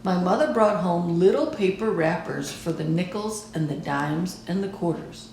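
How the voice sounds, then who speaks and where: A woman reading a children's picture book aloud in a deliberate, expressive storytelling style. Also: on the low side, creaky, echoey room, slight background noise, poor audio. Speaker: storybook reader.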